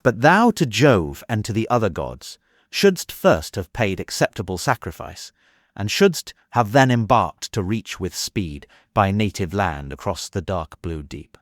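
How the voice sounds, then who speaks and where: Deep, solemn ancient sea god. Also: male voice, very animated, measured pace, no echo, studio quality. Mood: stern, solemn. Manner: grave, archaic, declamatory. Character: aged sea god and seer, wily, solemn, reluctant. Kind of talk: dramatized epic audiobook acting.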